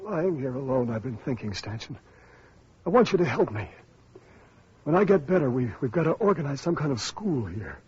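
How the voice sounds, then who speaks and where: An actor playing an ailing, earnest man who speaks haltingly in an old-time radio drama. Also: medium pitch, sing-song, a little mellow, breathy edge. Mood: earnest, feverish. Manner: halting, repeats words, earnest. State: ill, weak. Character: ailing, earnest male survivor, feverish idealist about teaching. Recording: muffled lo-fi old radio recording.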